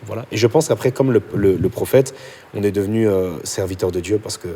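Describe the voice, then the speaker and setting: A young man from Portugal, a pastor, telling his conversion story in a podcast chat, animated and then hushed at intimate moments. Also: husky. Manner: fast, animated storytelling, drops low and soft at intimate moments. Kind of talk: personal testimony in a podcast chat.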